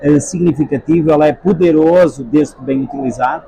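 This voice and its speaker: A man in his forties from Portugal, with a European Portuguese accent, answering interview questions in a measured way with frequent hesitations. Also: on the high side, gravelly, warm tone, rough recording. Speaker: guest, edtech sales executive. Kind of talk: trade-fair interview answers. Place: busy expo hall.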